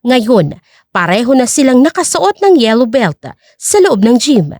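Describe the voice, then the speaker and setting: Female audio drama narrator, scripted and dramatic, high register, lively intonation. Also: measured pace, no room sound, clean studio sound.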